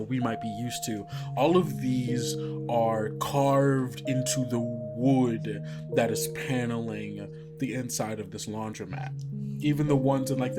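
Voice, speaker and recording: A young man narrating a tabletop game as game master, describing scenes with many fillers and likes. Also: mid-range voice. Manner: rambling descriptions full of likes and uhs.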